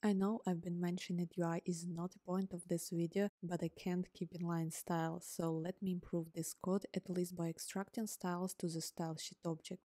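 A woman whose first language is Ukrainian, calmly narrating a scripted coding tutorial step by step as host. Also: on the low side, moderate pace, few pauses, no room sound. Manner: calm, step-by-step explaining. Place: voice-over on screen recording.

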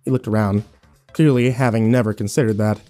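A man's voice: fairly low pitch, fairly even intonation, brisk, warm tone, faint music behind, close to an everyday voice. An actor playing a weary, irritable hospital patient who tells his story in a sullen, sardonic first-person horror narration. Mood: wary, dry.

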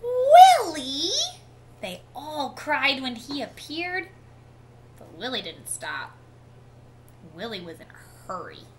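A woman in her thirties reading a picture book aloud to children in an expressive storytelling manner. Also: fairly high pitch, slow, pauses often. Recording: clearly noisy home webcam recording.

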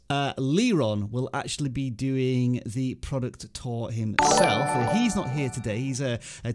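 A man in his thirties with an English accent, hosting a casual livestream from a small home studio and cheerfully greeting viewers in the chat. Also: medium pitch. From England. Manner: upbeat, reading out chat names and greeting viewers. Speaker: livestream host. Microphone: studio microphone on arm, headphones.

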